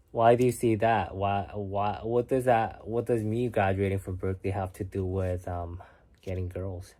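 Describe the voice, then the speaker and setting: Soft-spoken young man, low and mellow. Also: level tone, a little slow, long pauses. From Korea. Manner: soft-spoken, musing, sometimes drops to a whisper. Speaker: livestream host reading chat. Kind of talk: casual late-night chat. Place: home at night.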